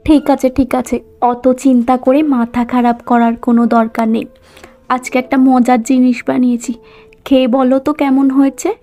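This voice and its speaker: A woman's voice: high-pitched, flat delivery, brisk, mellow. A woman voicing a farmer's practical, reassuring village wife in a cartoon, soothing and resigned in manner. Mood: encouraging. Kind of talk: cartoon story dialogue. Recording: music behind the voice.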